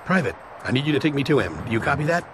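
An actor playing a terse, authoritative special agent in clipped video game cutscene dialogue. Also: male voice, mid-range voice, big pitch swings, rapid-fire, background noise, close to an everyday voice. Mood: terse, controlled. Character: terse, commanding male special agent leading stranded soldiers.

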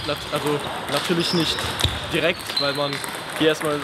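A man's voice: a little high, little pitch movement, normal speed, very noisy. Teenage boy giving a hesitant testimonial. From Berlin, Germany. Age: teens. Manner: hesitant, with fillers. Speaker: camp participant. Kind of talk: interview testimonial. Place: indoor sports hall.

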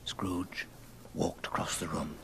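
A man narrating a story dramatically, with deliberate pauses, in old radio drama style. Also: low-pitched, little pitch movement, creaky, fairly bright tone, whispered.

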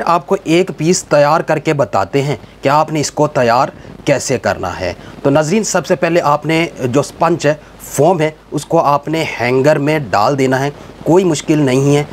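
A man's voice: a little high, slight background noise. A man with a Pakistani accent presenting a scripted explainer voice-over, instructing viewers directly and repeating his phrasing. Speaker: video presenter.

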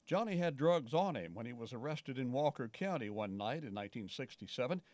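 A man's voice: medium pitch, animated, fairly quick, darkish tone, no room sound. A man reading scripted narration for a television news feature as the reporter.

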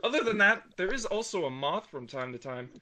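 A man reading a comic aloud to friends in an online game session, with playful, deadpan narration. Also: sits high, fairly quick, a loud crowd. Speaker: comic reader.

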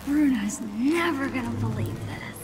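An excitable teenage girl in a video game, gushing over heroes. Her voice is high and swings widely, with music behind it. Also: normal speed. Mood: starstruck excitement. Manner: gushing, starstruck. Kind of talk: video game cutscene acting.

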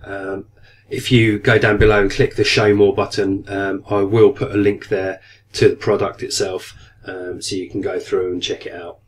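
A man's voice: low voice, little pitch movement, moderate pace. A man in his thirties with a cold coming on, casually reviewing an aquarium product, hesitant and full of ums. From United Kingdom. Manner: hesitant, many ums and restarts. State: getting a cold, voice going slightly. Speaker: aquarium hobby vlogger. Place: small room indoors at a desk. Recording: distant mic, faint room noise.

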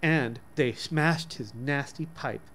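A man's voice: medium pitch, slow, a bit bright. A man voicing a mischievous small boy who chimes in with eager, blunt remarks. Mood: gleeful.

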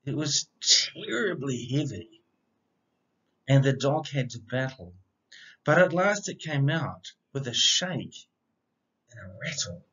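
Unhurried man reading with lively pitch. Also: slightly rough. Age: middle-aged. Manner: expressive, measured storytelling. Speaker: storybook reader, educator. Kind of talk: children's read-aloud of rhyming picture book. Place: indoor room. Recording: slightly dull, lo-fi webcam sound.

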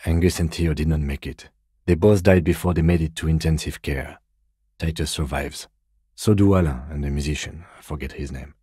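An actor playing a composed, weary police detective in a video game, with dry, deadpan, measured delivery. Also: male voice, very deep voice, breathy edge, no echo. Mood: grave, composed.

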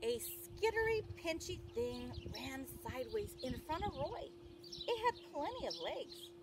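A middle-aged woman reads a children's story in a high, very lively voice at an unhurried pace. She is expressive and speaks simply for young listeners. She is recorded outdoors in a garden. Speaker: teacher reading aloud to children. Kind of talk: children's picture book read-aloud. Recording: outdoor recording with clear birdsong noise.

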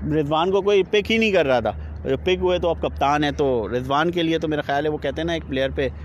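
A man in his thirties reporting live from outside a stadium and interviewing people, speaking haltingly with frequent filler pauses into a handheld mic. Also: on the high side, lo-fi sound, a little hiss or hum. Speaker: sports reporter. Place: outdoors by a stadium.

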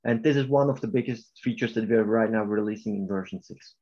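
A man's voice: fairly low pitch, dark tone, dull audio. Swiss German man presenting a webinar. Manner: explanatory, halting with restarts. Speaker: software vendor presenter.

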